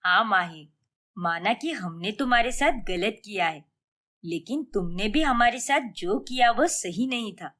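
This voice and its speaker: A woman's voice: mid-range voice, expressive, measured pace, pitched below the performer's own voice. Woman putting on a worried, pleading middle-aged father. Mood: sorrowful. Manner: pleading, gently chiding.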